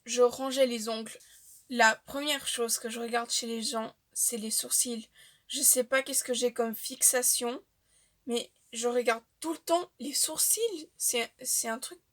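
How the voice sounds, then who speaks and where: A young woman, chatting casually to the camera about herself with frequent filler pauses. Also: fairly high pitch, fairly bright tone. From Portuguese-speaking family, raised in Switzerland. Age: young adult. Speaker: vlogger. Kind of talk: casual facts-about-me vlog.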